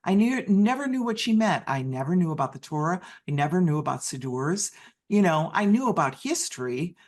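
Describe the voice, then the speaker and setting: Halting live interpreter voice. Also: mid-range voice, sing-song. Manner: halting phrasing with frequent restarts and self-corrections. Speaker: sign language interpreter voicing a deaf speaker. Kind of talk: live first-person interpretation of a personal talk.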